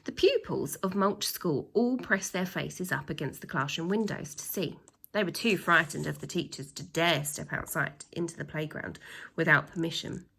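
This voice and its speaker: A woman reading a children's story aloud with dramatic emphasis, pausing to put questions to her young listeners. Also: lowish voice, gravelly, pushed and bright. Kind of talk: expressive storytime narration with asides to children.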